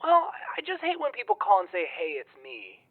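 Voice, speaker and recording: A man's voice: sits high, huge pitch swings, a little fast, no echo, exaggerated voice. A man playing a rattled young man confiding in his friends in an audio drama, speaking hesitantly over a muffled line. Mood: earnest.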